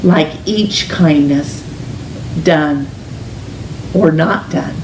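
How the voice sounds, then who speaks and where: A woman reading a children's picture book aloud in an expressive, gentle, deliberate storytelling manner, with drawn-out emphasis on words. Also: on the low side. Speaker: storytime reader, teacher. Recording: roomy, distant mic with faint hiss.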